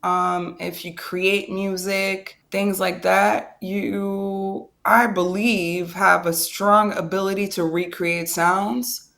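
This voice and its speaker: A young woman talking as a guest in a casual interview, eager and blunt, quick to agree and not shy about swearing. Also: medium pitch, little pitch movement, vocal fry, a bit bright. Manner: enthusiastic, quick to agree, plain-spoken with some swearing.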